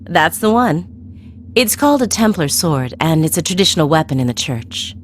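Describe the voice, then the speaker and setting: Coy, teasing, low-voiced woman villain. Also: big pitch swings, moderate pace, slight background noise. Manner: coy, teasing, sing-song. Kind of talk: video game voice acting.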